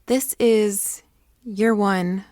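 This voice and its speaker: A woman playing an excitable, self-important teenage girl who rambles dramatically through a confessional audio diary. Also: medium pitch, very slow, no room sound, clean studio sound. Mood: chatty, self-important. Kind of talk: confessional audio diary monologue, naturalistic acting.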